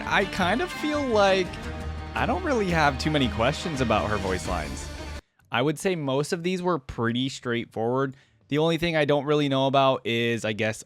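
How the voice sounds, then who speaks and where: A man's voice: mid-range voice, a bit bright, no echo. A man hosting a reaction video, giving informal, rambling commentary.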